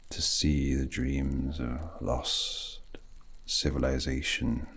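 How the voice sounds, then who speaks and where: Guy doing a wistful elf mage for asmr roleplay, super low gravelly half whisper, really slow and thoughtful. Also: flat delivery, a bit bright, heavily acted. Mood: pensive, melancholy. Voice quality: breathy, gravelly, often slipping into whisper. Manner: slow, deliberate, reflective, with long pauses. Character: wistful, scholarly elf apostate mage, an outsider dreamer.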